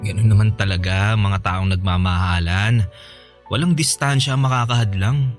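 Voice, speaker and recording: A young man with a Filipino accent playing a devoted, gentle, corny boyfriend in a conversational radio drama. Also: on the low side, moderate pace, soft background music, natural voice. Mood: agreeable, curious. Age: young adult.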